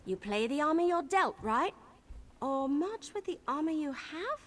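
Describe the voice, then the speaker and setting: A high-pitched young adventurer heroine from a fantasy game. She is candid and self-deprecating, with nerves under the pluck, and her voice rings in a long reverb. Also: very animated, a little slow, a little hiss or hum. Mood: wry. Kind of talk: fantasy video game character acting. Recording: long reverberant hall echo.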